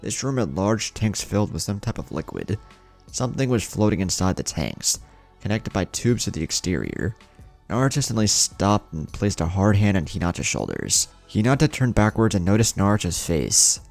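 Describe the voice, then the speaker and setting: Deep-voiced, gravelly male storyteller. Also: big pitch swings, moderate pace, darkish tone, faint music behind. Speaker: fan fiction narrator. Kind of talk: scripted story narration.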